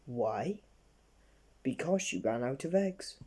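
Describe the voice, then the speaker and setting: A bedtime story reader with a husky, dark-toned voice. The delivery is storybook narration, read aloud haltingly with small slips. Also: medium pitch, lively intonation.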